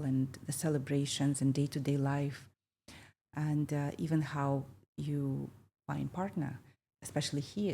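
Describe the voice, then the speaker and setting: A woman in her fifties from Kazakhstan hosting a reflective conversation, talking thoughtfully with many pauses and fillers. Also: low voice, vocal fry, warm tone, a little hiss or hum. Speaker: podcast host.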